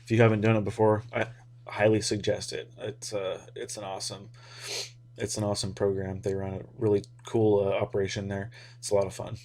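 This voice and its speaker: A man's voice: lowish voice, level tone, a little fast, a little mellow. An American man giving a casual, rambling explainer in an informal, hesitant way, as if thinking aloud. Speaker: hobby radio video host.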